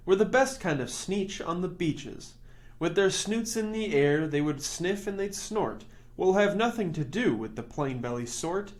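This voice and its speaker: A man hosts a children's storytime in a slightly high voice. His pitch swings widely as he reads rhyming verse. The delivery is expressive and rhythmic, in a picture-book storytelling style. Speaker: story reader and host.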